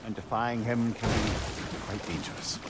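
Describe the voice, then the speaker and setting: An actor playing a witty, learned, cantankerous older advisor in a video game, with a Scottish accent and a wordy, theatrical manner. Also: male voice, on the low side, normal speed, heavy background noise, close to an everyday voice. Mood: scholarly, wry. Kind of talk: video game drama acting.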